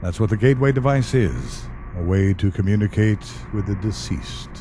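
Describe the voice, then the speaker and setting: Guy playing a calm dry government spook in an audio drama, dark mellow lowish voice, noisy background. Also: lightly acted. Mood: patient explaining. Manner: measured, matter-of-fact, dryly polite. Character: calm, wry male government specialist who questions the dead.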